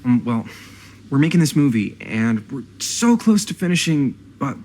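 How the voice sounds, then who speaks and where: An actor playing a nervy, earnest young American brother in a video game drama, speaking casually and hesitantly, eager to please. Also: male voice, medium pitch, big pitch swings, moderate pace, a little mellow. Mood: sheepish pleading.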